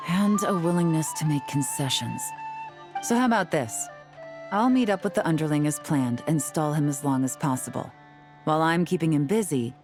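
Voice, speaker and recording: Low-voiced, cool strategist. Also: female voice. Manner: composed, measured, firm. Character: cool, calculating woman strategist. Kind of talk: video game voice acting.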